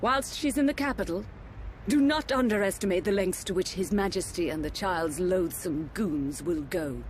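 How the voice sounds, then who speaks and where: An actress playing a haughty, scheming royal consort in a video game, imperious and disdainful. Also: female voice, fairly low pitch, moderate pace, slight background noise, close to an everyday voice. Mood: cold, menacing. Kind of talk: video game cutscene acting.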